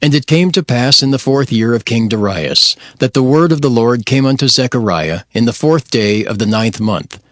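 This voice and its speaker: A man narrating scripture in a measured, formal, scripted style. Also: medium pitch, animated, no echo, studio quality.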